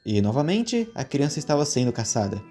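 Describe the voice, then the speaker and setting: Mellow storytelling narrator with lively intonation. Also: medium pitch, a little fast. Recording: music behind the voice.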